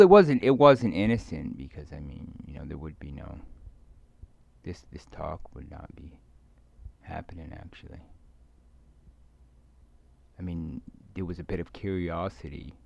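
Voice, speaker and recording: A man rambling casually to himself in a halting, hesitant way, repeating words and trailing off mid-thought as he muses. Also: deep voice, gravelly, a little mellow, a little hiss or hum. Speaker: video creator. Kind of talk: rambling casual solo monologue. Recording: dull, muffled lo-fi recording.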